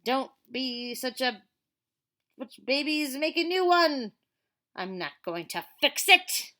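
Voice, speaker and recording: A woman voicing a mean, bratty little girl bully from a comic, gloating and whining in drawn-out shouts. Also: high voice, expressive, voice pushed up, heavily acted. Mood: bratty, defiant.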